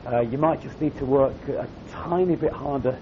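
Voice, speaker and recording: Croaky male youth speaker, chatty and emphatic, muffled recording, light background hum. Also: normal speed. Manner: conversational, emphatic repetition. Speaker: camp speaker. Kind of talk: youth sermon. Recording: dull lo-fi recording with faint rumble.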